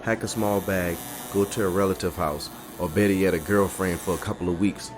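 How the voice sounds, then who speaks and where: A man's voice: low voice, steady tone, a little fast, lightly acted. A man playing an easygoing, streetwise barber in an audio story, talking in casual slang over background music. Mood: reassuring. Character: easygoing streetwise male barber, helpful loyal friend. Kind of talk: dramatic audio story acting.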